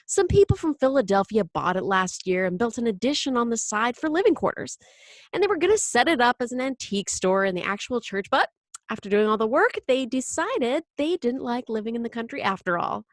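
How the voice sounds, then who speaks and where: A woman's voice: on the high side, sing-song, brisk, no echo, pitched above the performer's own voice. A woman voicing a breezy, determined, enthusiastic mother in a storybook reading, with coaxing, exclamatory delivery.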